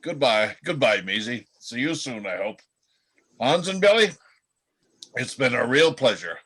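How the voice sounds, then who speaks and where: A man's voice: mid-range voice, very animated, measured pace, pushed and bright, breathy edge, heavily acted. A man playing a jovial, affectionate reindeer husband in a folksy radio play style. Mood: tender, excited. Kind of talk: reader's theatre radio play acting.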